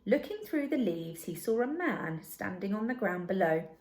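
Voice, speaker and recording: A woman reading a children's story aloud as narrator, in an expressive and deliberate manner. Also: medium pitch. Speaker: storybook reader.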